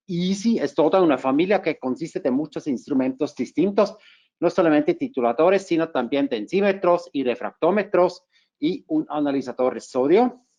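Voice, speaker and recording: A man from Switzerland, German by mother tongue, walks listeners through a technical webinar in a careful way, often restarting phrases. His Swiss German accent is clear, and his voice sits a little high for a man. The sound is muffled, like lo-fi webinar audio. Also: darkish tone. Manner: careful, with frequent restarts and fillers. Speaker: market manager presenting a webinar.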